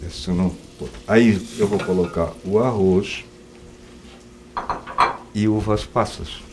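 A man's voice: fairly low pitch, fairly even intonation, slow pace, background noise. A middle-aged man hosts a cooking show and chats through each step as he cooks on a TV studio kitchen set. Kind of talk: casual chat while cooking.

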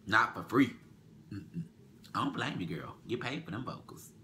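A young man co-hosting a casual chat show, teasing with quick comebacks and often dropping to a murmur, recorded in a small bedroom. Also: fairly low pitch, expressive, sharp tone, slight background noise. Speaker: co-host.

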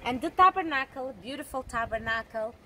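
A woman, guiding a tour outdoors by a church and speaking haltingly with many pauses. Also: high-pitched, animated. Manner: halting, with frequent pauses and fillers. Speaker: tour guide. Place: outdoors in a sunny church square. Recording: distant voice, loud wind noise outdoors.

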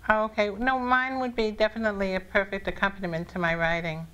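A woman guest author chatting casually on a live talk show, speaking in a reflective, deliberate way with drawn-out phrases. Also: mid-range voice, flat delivery, a bit bright, lo-fi sound.

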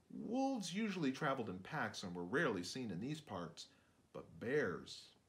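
A man in his fifties narrating a children's book aloud in an expressive, theatrical way. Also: lowish voice. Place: indoors, book-lined room.

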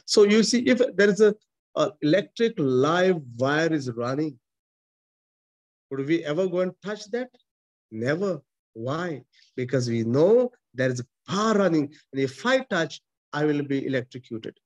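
A man's voice: fairly high pitch, sing-song, a little slow. A man in his forties with an Indian accent, a priest preaching a sermon over a video call from a small chapel, emphatic and repetitive. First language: not English. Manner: emphatic preaching, frequent repetition and restarts, gestures while talking. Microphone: webcam close up.

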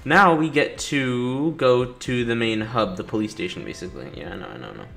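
A man hosts a casual video game playthrough in a medium-pitched voice. He jokes back at the characters and reads captions aloud. Faint game music plays behind him. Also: expressive, fairly quick, gravelly, fairly bright tone. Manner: joking, reacting to characters, reading captions aloud. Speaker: gaming video host. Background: video game music and sound.

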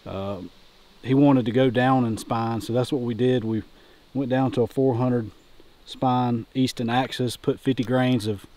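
A man's voice: mid-range voice, fairly even intonation, a little slow, a little mellow. A man in his thirties hosting a casual hunting vlog, talking in a folksy way with hunting slang. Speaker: hunting show host. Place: outdoors in open country, and in a vehicle cab. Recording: noisy outdoor and vehicle ambience.

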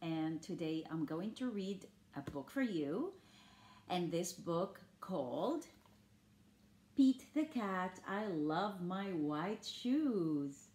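A woman's voice: lowish voice, animated, slow, a little mellow. An older woman reading a picture book aloud to young children in an exaggerated, sing-song manner with questions for them. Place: indoors in a living room. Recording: roomy home recording.

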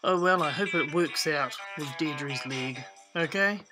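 A polite male secretary answering the phone, voiced by a performer in a plain, mid-pitched voice, chatty and sympathetic. Music plays under the comedy skit. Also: measured pace, natural voice. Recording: music playing behind voice.

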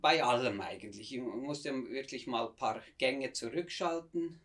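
A man in his fifties from near Bern with a Swiss German accent, a missionary guest telling his story in a measured, reflective way. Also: mid-range voice, fairly bright tone. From Switzerland, near Bern. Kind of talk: conversational interview.

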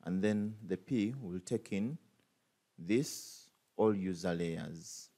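Low, mellow man talking through code. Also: slow pace. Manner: thinks aloud, many fillers and restarts. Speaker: programming tutor. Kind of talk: screen-recorded coding tutorial.

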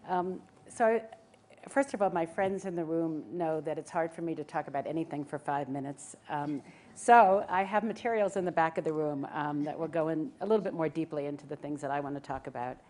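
A woman's voice: sits low, fairly quick, darkish tone. A woman speaking on a panel to a live audience under a large tent, chatty and self-deprecating, with many ums.

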